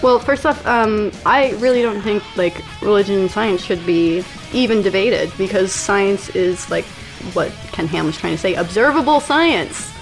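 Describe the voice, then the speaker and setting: A woman speaking as a guest in a casual chat, with a calm, even, monotone way of talking. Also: mid-range voice, moderate pace, music in the background, rough recording. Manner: calm, self-described monotone delivery.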